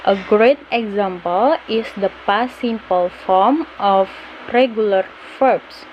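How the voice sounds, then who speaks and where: Very slow young woman reading a lesson. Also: mid-range voice, dull audio. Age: young adult. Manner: halting, broken phrasing. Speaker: student presenter. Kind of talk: scripted lesson narration, reading slides. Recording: faint background noise.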